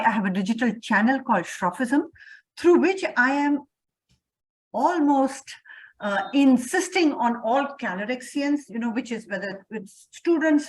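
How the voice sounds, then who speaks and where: An Indian woman entrepreneur, speaking in an interview. She talks about her ideas in an earnest, reflective, motivational way. Her voice sits a little high. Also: poor audio.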